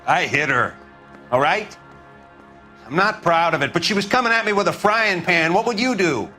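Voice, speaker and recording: A man's voice: high voice, expressive, normal speed, sharp tone, soft background music. An actor playing a browbeaten American husband in a crime game, a wounded, defensive suspect pleading his case in plain words. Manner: pleading, plain-spoken, self-pitying. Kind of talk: dramatic video game acting.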